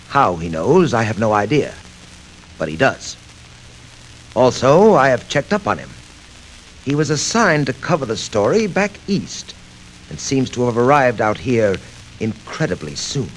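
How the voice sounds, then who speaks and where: An actor playing a smug, calculating gang boss in 1940s radio style, deliberate and commanding, with mocking amusement. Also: male voice, mid-range voice, very animated. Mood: cold, commanding. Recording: noisy, crackly old radio show record.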